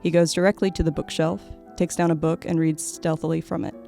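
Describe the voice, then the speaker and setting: A woman's voice: sits low, level tone, brisk, vocal fry, warm tone, soft background music. A woman reading scripted stage directions as the narrator of an audio play.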